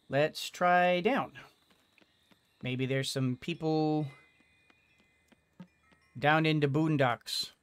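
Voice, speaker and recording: A man's voice: on the high side, clean tone, dry recording. A middle-aged man giving casual let's play commentary, hesitant and musing to himself as he plays. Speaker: gaming video host. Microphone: microphone on boom arm, headset. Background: game music.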